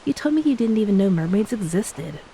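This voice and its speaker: A woman playing a gentle, playful ex-mermaid wife in a romantic audio roleplay, speaking tenderly and teasingly to a beloved spouse. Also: medium pitch, a little fast, rough recording. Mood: playful, loving. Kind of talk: romantic audio roleplay, intimate scripted acting. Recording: steady hiss behind the voice.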